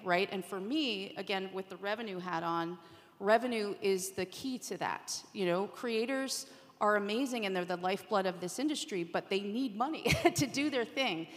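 A woman speaking on a conference panel in an informal, emphatic, conversational way. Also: mid-range voice. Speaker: podcast industry revenue executive, panelist. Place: large room at a conference panel. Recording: echoey hall with long reverb.

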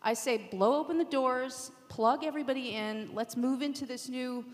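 A woman's voice: sits high, normal speed. Assured woman panelist in an echoing hall. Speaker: panelist from the podcast industry. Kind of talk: unscripted pitch-like panel talk. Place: indoor conference panel hall. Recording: reverberant hall.

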